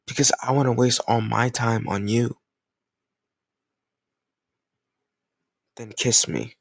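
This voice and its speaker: A man's voice: fairly low pitch, no room sound. Man acting a jealous lover, close and dry. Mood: coaxing, flirtatious.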